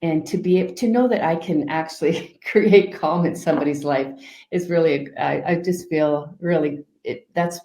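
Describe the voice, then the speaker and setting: A woman speaks in a low, mellow voice, calm and soft-spoken, often pausing on fillers. Her voice carries a noticeable echo from the room around her. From Canada. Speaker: guest life coach. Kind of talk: interview answers.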